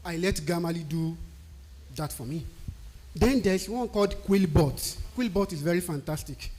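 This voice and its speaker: A man's voice: on the high side, normal speed, darkish tone. A man from Nigeria with a Nigerian accent, not a native English speaker, giving a live-stream talk that explains technical writing and often asks and answers his own questions. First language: a Nigerian language.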